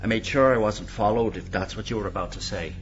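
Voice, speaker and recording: An actor playing a suspicious criminal accomplice in a radio mystery, terse and guarded. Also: male voice, lowish voice, fast talker, natural voice. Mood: wary, suspicious. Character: wary, suspicious criminal accomplice demanding payment. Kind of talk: radio mystery drama acting. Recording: dull audio with prominent music underneath.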